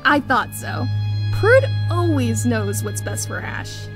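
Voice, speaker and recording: Woman doing a high, lively, caring young adventuress. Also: slow, music in the background. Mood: cheerful, playful. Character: warm, playful young female adventurer comforting her friend. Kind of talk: visual novel character dialogue.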